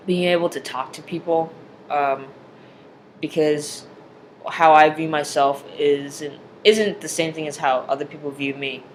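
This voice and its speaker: A young man talking earnestly in a personal video diary, pausing often with fillers and restarting his sentences. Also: fairly high pitch, slight background noise. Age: young adult. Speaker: vlogger.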